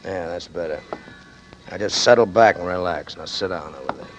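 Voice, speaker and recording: A man's voice: sits low, normal speed, faint music behind, lightly acted. An actor playing a cool gangster who holds a man at gunpoint, drawling mockingly friendly threats in a 1940s radio drama. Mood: menacing. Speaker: gunman. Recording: dull lo-fi old radio broadcast.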